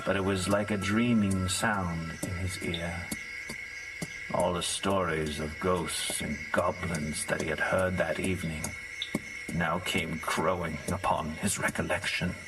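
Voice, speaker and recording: A man's voice: deep voice, level tone, unhurried, distant mic, low-quality recording. A man reading a scripted story as narrator, in a dramatic theatrical storytelling style. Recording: set back under loud music.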